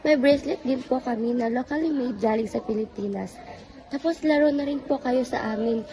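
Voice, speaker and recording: An insistent young Filipino vendor played by a woman in a radio drama. Her highish voice has an eager sales patter, on a clearly noisy recording. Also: a little fast, close to an everyday voice. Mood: eager, selling. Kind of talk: amateur radio drama acting. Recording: noisy recording with ambience behind.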